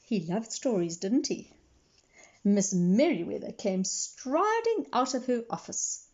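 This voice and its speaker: A woman's voice: a little high, very animated, takes it slowly, a little mellow, dull audio. A woman reading a picture book aloud to children as a librarian, with simple explanations along the way. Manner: clear explanatory storytelling for young listeners.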